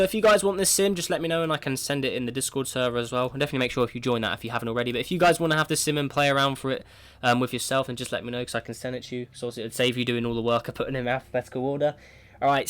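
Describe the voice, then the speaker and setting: A man with a cold talks through a space simulation game at a mid-range pitch. He keeps up a relaxed running commentary, often restarting with "right, so", and his throat sounds sore. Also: slight background noise. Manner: chatty, thinks aloud, often opens with "right, so". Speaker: gaming video creator.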